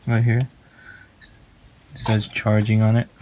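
Halting, flat-toned man with background hiss. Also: on the low side. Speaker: tutorial presenter. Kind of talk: casual hands-on tutorial. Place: small room at home, indoors. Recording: noisy handheld home recording.

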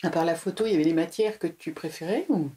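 A woman's voice: on the low side, quick pace, mellow, breathy edge. A woman interviewer asking short, prompting questions in a casual chat.

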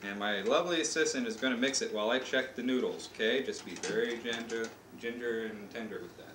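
An American man with a mid-pitched voice presents a casual cooking demonstration in a playful, step-by-step way. He is recorded on a home camcorder in an echoey kitchen, with clear noise around his voice. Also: quick pace. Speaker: father hosting a home cooking show. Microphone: camcorder mic a few feet away.

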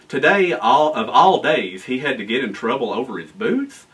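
An American man in his forties reading a children's storybook aloud in an animated, expressive way. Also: medium pitch, normal speed, recorded from a distance. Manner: animated, expressive reading with asides to young listeners. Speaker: guest storyteller, town official. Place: library room with bookshelves, indoors.

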